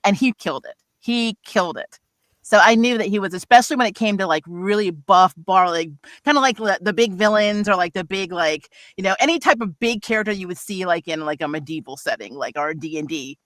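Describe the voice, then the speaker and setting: A woman's voice: fairly low pitch, fairly quick, no room sound. A woman hosting a casual group chat in an enthusiastic, encouraging manner. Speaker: panel host and voice actor.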